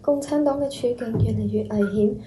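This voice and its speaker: Woman playing worried little sister, high soft-toned mellow voice, pleading, radio play. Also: fairly quick, a little hiss or hum, lightly acted. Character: anxious caring younger sister of a general. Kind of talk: amateur Cantonese radio drama acting.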